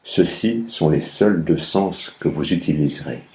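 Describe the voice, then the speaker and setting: A man guiding a meditation as a yoga nidra instructor, speaking calmly in measured instructions with long pauses between phrases. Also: deep voice. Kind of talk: guided meditation, slow instructions.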